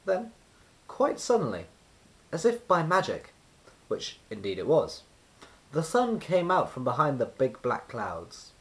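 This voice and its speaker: A man reading a children's storybook aloud as narrator, with expressive, deliberate storytelling and emphasis on repeated words. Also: a little high, rough recording. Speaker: children's book reader.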